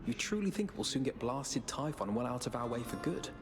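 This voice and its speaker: A man's voice: mid-range voice, big pitch swings, a little fast, vocal fry, over loud music. A man playing a charming, affable sun god musician in a fantasy game, easygoing, friendly and lightly theatrical. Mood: breezy, teasing.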